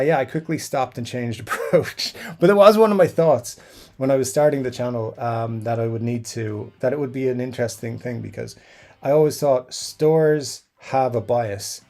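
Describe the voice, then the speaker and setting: A middle-aged man hosts a casual live stream, reading comments aloud and musing at length. His voice sits at an ordinary pitch, and his talk wanders with fillers, restarts and asides. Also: a little mellow. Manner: rambling, chatty, frequent fillers and restarts. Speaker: YouTube guitar channel host.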